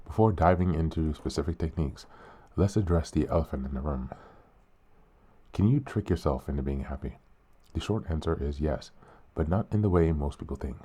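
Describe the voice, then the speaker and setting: Podcast host, male, very deep and warm, mildly hoarse; calm, earnest reading. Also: a little fast. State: hoarse, a bit sick.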